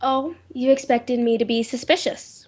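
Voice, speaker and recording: Woman playing an anxious, high-voiced radio drama heroine. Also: steady tone, normal speed, a little mellow, close to an everyday voice. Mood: guarded politeness. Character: anxious, insistent well-to-do woman suspecting an impostor brother. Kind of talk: old time radio drama acting.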